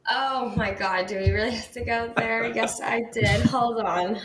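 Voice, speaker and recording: A woman co-hosting a music radio show, chatting freely and telling stories with lively exclamations. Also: a little high, unhurried, a crowd in the background. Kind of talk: casual chat. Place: Bali. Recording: echoey room.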